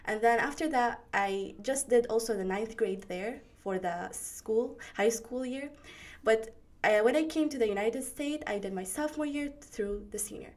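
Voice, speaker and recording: Teen girl, Arabic accent, non-native speaker, hesitant, pauses to find words. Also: a little high. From Syria. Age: teens. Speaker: graduating student guest. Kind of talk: casual interview answers.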